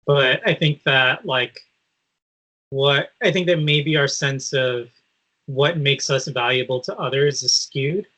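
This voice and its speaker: A man's voice: medium pitch, slow pace, pushed and bright. A man co-hosting a podcast in thoughtful, casual conversation, tentative and hesitant, peppering his sentences with "like". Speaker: podcast co-host.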